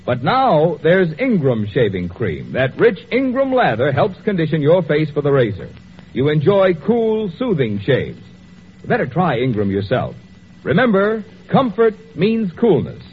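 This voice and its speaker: A man's voice: fairly high pitch, huge pitch swings, unhurried. A male radio announcer reading advertising copy in a polished, salesmanlike 1940s style. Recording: muffled, hissy old radio recording.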